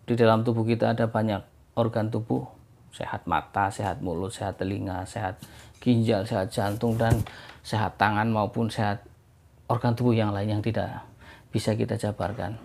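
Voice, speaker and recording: Guy w hosting a culture channel, gravelly hoarse voice, kinda sick sounding, explains stuff informally. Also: on the low side, steady tone, normal speed, darkish tone. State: hoarse, under the weather. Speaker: host of a heirloom dagger culture channel. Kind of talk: informal explanatory presentation to viewers.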